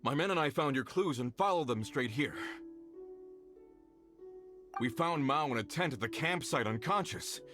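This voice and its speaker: Earnest foreman, game role, male actor: medium pitch, courteous, concerned, a little hesitant. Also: expressive, moderate pace, pauses often, close to an everyday voice. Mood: relieved, puzzled. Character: earnest, grateful foreman of a mining crew. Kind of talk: video game voice acting. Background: music behind the voice.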